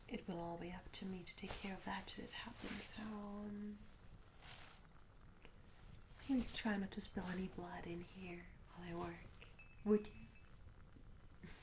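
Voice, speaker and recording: A woman playing a curious, polite medieval tavern maid in an ASMR roleplay, speaking in a soft, breathy whisper with gentle, hesitant, old-fashioned courtesy. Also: medium pitch, natural voice, rough recording. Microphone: close ASMR microphone. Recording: close whisper over audible ambience noise. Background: candlelit tavern ambience.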